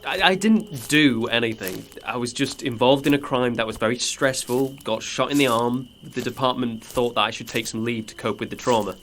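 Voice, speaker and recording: A man's voice: mid-range voice, moderate pace, few pauses, fairly bright tone, a little hiss or hum, lightly acted. A man playing an awkward, defensive policeman on leave in an audio drama, stammering and easily flustered.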